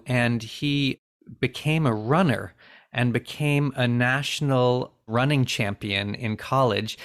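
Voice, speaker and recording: A man's voice: medium pitch, fairly bright tone. A man in his forties, raised bilingual in Finnish and English, telling an interviewer about his humanitarian work in an earnest, reflective way, with frequent restarts. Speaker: interview guest, refugee aid founder. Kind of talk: conversational interview storytelling.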